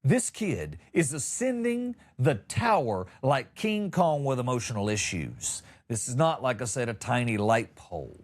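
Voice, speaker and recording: A man's voice: medium pitch, very animated, unhurried, few pauses. A middle-aged man hosting a comedy show, telling a story in a wry, exaggerated way with emphatic punchlines. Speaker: comedian and podcast host. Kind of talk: comic storytelling monologue.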